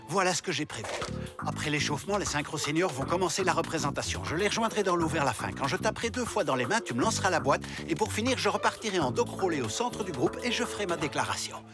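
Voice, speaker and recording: An older cartoon suitor, slightly high for a man and very expressive. He comes across as polite, eager and nervous, a devoted gentleman. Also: quick pace, music in the background. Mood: earnest, nervous. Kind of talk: French animated series dubbing.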